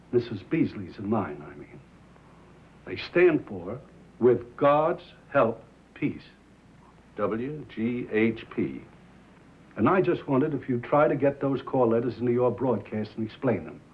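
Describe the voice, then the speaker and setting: An actor playing a prim, earnest American small-town radio station owner, polite, literal-minded and hesitant, in a 1950s film drama. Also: male voice, medium pitch, lively intonation, unhurried, long pauses, natural voice. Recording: dull noisy 1950s film soundtrack.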